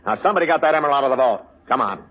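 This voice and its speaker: A gruff American police inspector, played by a man on a muffled old radio show with clear hiss and noise. He is sarcastic and quick to exasperation, his fairly high voice swinging widely in pitch. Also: animated, lightly acted. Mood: smug, bossy. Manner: gruff, slangy, blustering. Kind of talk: old-time radio detective acting.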